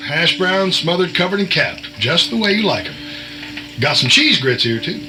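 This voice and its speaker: A man's voice: a little high, very animated, moderate pace, over music, poor audio. A man playing a cagey, wisecracking veteran insider in an audio drama, with a folksy, chiding, cryptic manner. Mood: folksy, teasing. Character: cagey, wisecracking male veteran insider and short-order cook.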